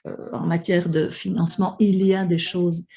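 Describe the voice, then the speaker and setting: A woman's voice: on the low side, little pitch movement, normal speed, dark tone, husky. A woman interpreting a conference panel live, with many hesitations and restarts. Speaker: simultaneous interpreter for an online panel.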